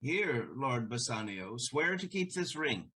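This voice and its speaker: A man playing an elderly, loyal merchant in a Shakespearean audio play, speaking in a measured, solemn way. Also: medium pitch, sing-song, sharp tone, husky, heavily acted. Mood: grave, earnest.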